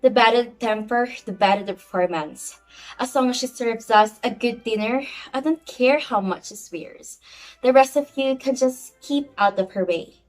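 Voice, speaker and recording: A woman playing a fussy, excitable society hostess and mother in an amateur stage play, brisk and commanding. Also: a little high, natural voice, poor audio. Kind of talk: amateur one-act play acting. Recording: music behind the voice.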